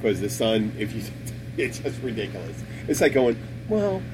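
A man's voice: lowish voice, normal speed, background noise. A man hosting a casual group chat, bantering in a joking, teasing manner. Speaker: podcast host.

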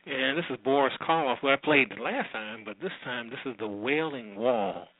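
A man's voice: medium pitch, expressive, moderate pace, no echo. Casual male radio host, dull lo-fi sound. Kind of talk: casual unscripted show introduction. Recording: dull, muffled, lo-fi, dry sound.

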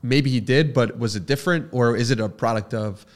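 Mid-pitched man hosting a podcast interview. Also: brisk. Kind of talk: thoughtful conversational questioning.

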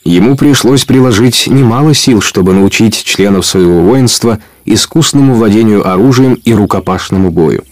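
A man's voice: on the low side, normal speed, few pauses, a little mellow, dry recording, lightly acted. A man narrating an outlaw legend as the storyteller of a radio play, in scripted storybook style. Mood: measured. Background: guitar music.

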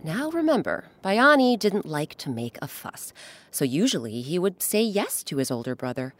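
A woman hosting and narrating a scripted children's story in an expressive, dramatic storytelling manner. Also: on the low side. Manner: expressive, dramatic pauses, addresses young listeners. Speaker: host and narrator. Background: music.

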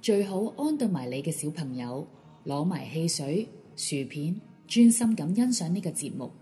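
A woman's voice: deep voice, sing-song, moderate pace, warm tone. A woman with a Hong Kong Cantonese accent reading a scripted pre-show announcement for a radio drama. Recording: faint music under the voice. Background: faint background music.

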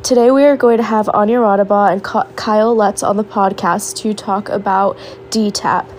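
A woman's voice: medium pitch, steady tone, normal speed, a little mellow, a little hiss or hum. A woman hosting a podcast and introducing the show, sounding congested from a cold and a little hesitant, with "uh" fillers. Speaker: podcast host.

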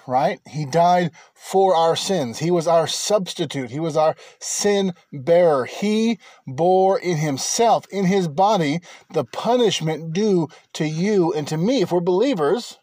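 A man hosting a Bible teaching podcast from inside his car, talking in a halting way with many fillers and restarts. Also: on the high side, mellow, no room sound. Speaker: podcast host and preacher.

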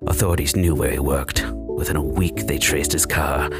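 An extremely low-voiced old uncle spins a gruesome bedtime tale. He is sardonic and gleefully morbid, like a film villain telling a story, with loud music underneath. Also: fairly even intonation, moderate pace. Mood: sinister, gleeful.

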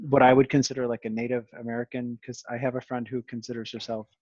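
A man's voice: medium pitch, little pitch movement, quick pace, darkish tone, dry recording. A male podcast host who stutters and circles back over his words while he chats. The sound is dry and a bit dull and lo-fi. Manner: stuttering, restarts phrases often. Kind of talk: casual conversation.

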